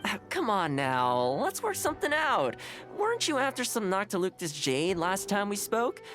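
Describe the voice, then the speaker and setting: A smooth, easygoing young man of a video game fixer, speaks with lively, swinging intonation. He is courteous and persuasive, with music playing behind him. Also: sits high, moderate pace, heavily acted. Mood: coaxing. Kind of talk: video game character dialogue.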